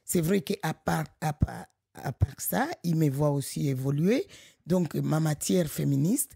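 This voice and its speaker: A middle-aged woman from Congo, director of a local association, speaking in an animated, emphatic way with frequent restarts. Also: deep voice, a little slow, creaky, husky, dry recording, studio quality. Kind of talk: conversational podcast interview.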